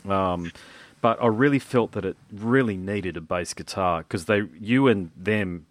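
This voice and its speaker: Chatty Australian man with a low voice. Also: unhurried. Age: young adult. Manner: chatty, enthusiastic, says "mate" often. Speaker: podcast host. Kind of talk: casual interview.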